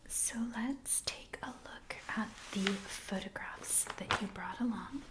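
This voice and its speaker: A woman doing a soft-spoken ASMR roleplay as a biographer, gently and attentively addressing the listener as her client. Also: mid-range voice, slow, poor audio.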